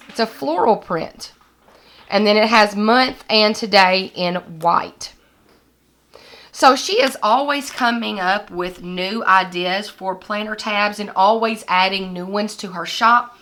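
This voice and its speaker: A young woman, hoarse and scratchy from a recent illness, chatting casually as she shows planner supplies to the camera at her desk. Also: medium pitch, sharp tone. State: hoarse, recovering from lost voice. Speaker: planner vlogger. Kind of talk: casual product haul vlog. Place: indoors at a desk with lighting kit.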